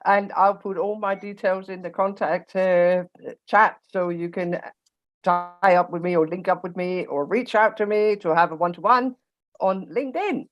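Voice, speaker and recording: A woman's voice: on the low side, fairly even intonation, moderate pace. A woman based in Scotland, a business consultant, introducing herself in a friendly, slightly hesitant way. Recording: dull call audio.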